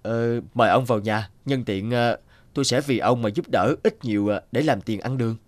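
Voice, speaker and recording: A man's voice: medium pitch, moderate pace, dry recording, natural voice. A man voicing a chivalrous thief in a folk tale cartoon, earnest, plain-spoken and decisive. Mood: earnest, kindly. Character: chivalrous male thief, principled, bold and protective.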